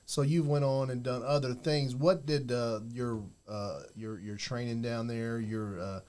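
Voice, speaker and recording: A man hosting a casual podcast, asking questions in a halting way full of fillers and restarts, and encouraging his guest. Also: mid-range voice, steady tone, a little mellow. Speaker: podcast host.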